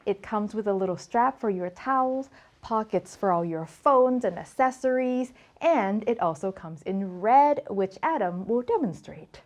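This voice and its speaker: Female cooking presenter from Thailand, mid-pitched voice, informal and chatty, playful emphasis, explaining technique to viewers. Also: animated, measured pace. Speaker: cooking show host. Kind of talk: casual cooking tutorial to camera.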